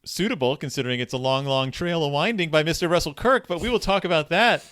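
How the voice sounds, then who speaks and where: A man co-hosting a casual book discussion podcast, talking in a halting, rambling way that often interrupts itself. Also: fairly high pitch, a bit bright, no room sound, studio quality. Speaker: podcast co-host.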